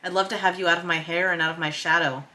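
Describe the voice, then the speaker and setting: A woman reading a film script as a vain but caring himbo younger brother, pointed yet gentle. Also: lowish voice, fairly even intonation, fast, rough recording. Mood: softly regretful. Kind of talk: screenplay read-through. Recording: noticeable background noise.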